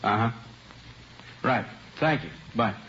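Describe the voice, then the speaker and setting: A man's voice: mid-range voice, takes it slowly, bright, forceful tone. An actor playing a matter-of-fact policeman in a 1950s radio drama, clipped and businesslike. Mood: businesslike, grim. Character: terse, matter-of-fact male police officer. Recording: muffled vintage radio recording, faint hiss.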